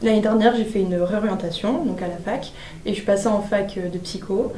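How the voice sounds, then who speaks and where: A young woman of eighteen, a civic service volunteer, tells her story with frequent pauses and fillers. Her voice is soft and warm. She is recorded from afar in a roomy space with faint background noise. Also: medium pitch, recorded from a distance. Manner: hesitant, many fillers. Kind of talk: personal testimonial.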